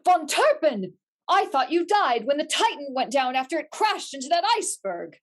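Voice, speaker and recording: A woman acts out an earnest young hero of a musical melodrama, high in pitch. The delivery swings widely, defiant and full of dramatic declaration. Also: sing-song, normal speed. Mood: shocked.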